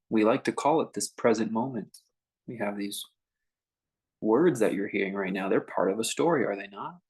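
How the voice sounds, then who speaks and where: Guy doing a guided meditation, talks in short unhurried bits with pauses, pretty informal and conversational, medium pitch. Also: poor audio. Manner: unhurried, gentle pauses between short phrases. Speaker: meditation teacher leading a guided meditation. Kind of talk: unscripted guided meditation talk.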